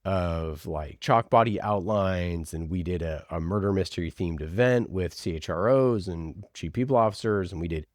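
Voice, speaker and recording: A man's voice: low-pitched, normal speed, hardly any pauses, darkish tone, dry recording, studio quality. A man talking casually as an interviewed podcast producer, telling stories in an informal, enthusiastic way peppered with "like". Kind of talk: casual conversational storytelling.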